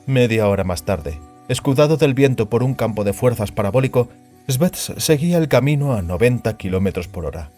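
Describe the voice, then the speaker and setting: A man's voice: medium pitch, mellow. A man reading a science fiction story aloud as a scripted audiobook narration.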